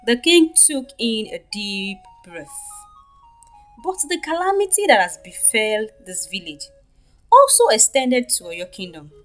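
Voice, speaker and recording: A woman with a Nigerian accent narrating a scripted folktale for children in an expressive, deliberate way. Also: fairly high pitch, soft background music. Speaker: storyteller.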